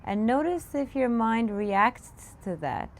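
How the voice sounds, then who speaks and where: A woman meditation teacher leading a guided meditation, giving gentle, unscripted instructions with pauses. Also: on the high side, big pitch swings. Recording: clear background noise, room ambience. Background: room ambience, children in the background.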